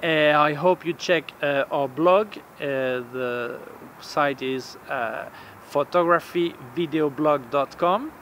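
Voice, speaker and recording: A man's voice: on the high side, takes it slowly, a bit bright. A man in his forties with a non-native English accent, reviewing cameras in a casual vlog, hesitant with many fillers and repeated words, recorded outdoors in a city plaza. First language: not English. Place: outdoor urban plaza on a bench. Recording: faint outdoor street noise. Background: faint street ambience.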